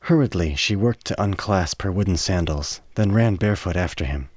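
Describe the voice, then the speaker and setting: A man narrating a radio play with measured, literary dramatic storytelling. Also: deep voice, darkish tone.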